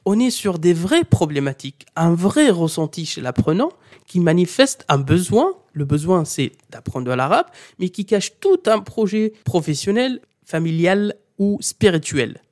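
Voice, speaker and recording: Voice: mid-range voice, very animated, unhurried, a little mellow. A language teacher giving a didactic talk, speaking straight to the learner and insisting on key points by repeating them. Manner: speaks directly to the listener as "tu". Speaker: Arabic teacher.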